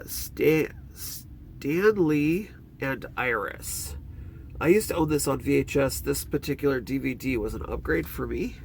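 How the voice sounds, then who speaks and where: A man with a stuffed-up nose chatting casually through his movie collection as a vlogger, rambling and talking in a lively way. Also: mid-range voice, vocal fry, slight background noise. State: congested nose.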